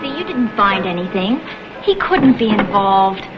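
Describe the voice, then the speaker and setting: A woman's voice: medium pitch, sing-song, unhurried. A woman playing an earnest, trusting young assistant in a vintage TV crime drama, insistent and pleading in manner. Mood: worried, insistent. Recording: dull vintage TV soundtrack, loud music behind.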